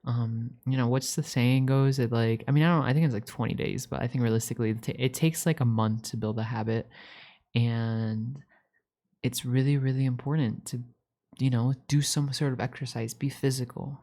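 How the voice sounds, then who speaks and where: Guy playing eager puppy boy roommate, asmr roleplay, mellow warm voice, rambly n stammery, sweet and earnest. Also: mid-range voice, steady tone, moderate pace, lightly acted. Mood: earnest, rambling. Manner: rambling, stammering, repeats words for emphasis. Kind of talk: ASMR roleplay, casual improvised chat.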